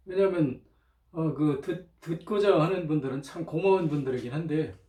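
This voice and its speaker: A man talking in an informal, rambling monologue, hesitant, often clearing his throat, with a slightly strained throat, on a cheap microphone. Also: fairly high pitch, normal speed, mellow. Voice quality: strained throat from chronic irritation. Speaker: lecturer, channel host. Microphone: cheap, low-volume microphone. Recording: roomy, distant cheap microphone.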